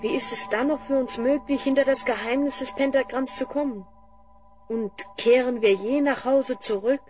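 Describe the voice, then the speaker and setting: A woman's voice: high-pitched, normal speed, over music, close to an everyday voice. A woman playing a brave young child hero, earnest and questioning, in a fantasy audio drama. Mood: worried.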